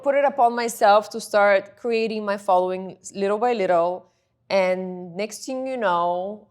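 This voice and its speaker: A woman, a guest in an interview, telling her story in a chatty, rambling way. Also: mid-range voice. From Bulgaria.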